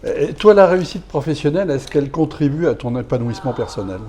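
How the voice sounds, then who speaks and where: A man's voice: on the high side, expressive, fast talker, warm tone. A man who hosts interviews for a business association, asking long, winding questions full of fillers.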